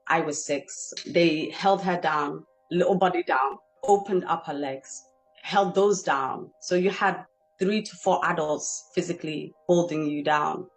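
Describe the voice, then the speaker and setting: Woman from Somalia, brisk earnest telling, soft music under. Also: deep voice, poor audio. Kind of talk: personal testimony.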